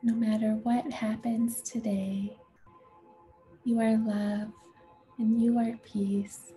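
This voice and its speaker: A woman leading a guided meditation in a gentle, soothing, unhurried way, with a soft, breathy voice that often nears a whisper. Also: on the high side, little pitch movement. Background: music.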